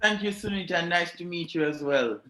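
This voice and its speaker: A man hosts an online youth conference, greeting each speaker in a friendly way and walking everyone through the logistics. His voice sits a little high. The call audio has a roomy echo. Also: moderate pace, bright, forceful tone. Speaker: conference host. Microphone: video call.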